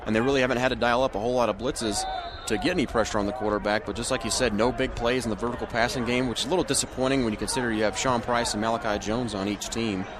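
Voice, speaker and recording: A man's voice: medium pitch, steady tone, racing, crowd noise. A man giving football color commentary and analysis, recorded with ambient noise behind him. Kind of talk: live sports commentary, analysis.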